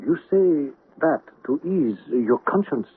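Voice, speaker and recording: Slow, raspy, sage confidant. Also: male voice, on the high side, lively intonation, narrow, tinny audio, heavily acted. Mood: calm, probing. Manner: philosophical, formal, deliberate. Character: sage, measured male confidant named Chung Lee. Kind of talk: radio drama acting. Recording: band-limited old radio recording.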